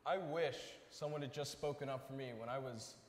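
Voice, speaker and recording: A man's voice: medium pitch, a little fast. A young man reading a scripted stage piece as a student performer. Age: young adult. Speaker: student performer in a readers theater. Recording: echoey noisy hall, distant stage sound.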